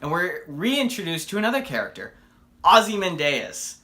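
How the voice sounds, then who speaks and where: Bright-voiced, enthusiastic man reviewing casually. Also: high voice, sing-song, normal speed. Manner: enthusiastic, conversational, rhetorical questions. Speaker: comic book reviewer and video host. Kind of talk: casual, unscripted fan review to camera.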